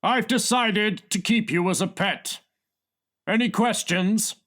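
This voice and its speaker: A blustering, self-important gang boss for a comic audiobook. He speaks in a raised, high register and spaces his words out with exasperated, pompous emphasis. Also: slow pace, fairly bright tone. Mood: pompous, commanding. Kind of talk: comic audiobook character reading.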